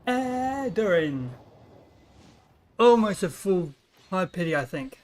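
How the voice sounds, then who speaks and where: A woman's voice: on the low side, sing-song, takes it slowly, darkish tone. A woman chatting casually as she commentates on her own video game livestream. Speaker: game streamer.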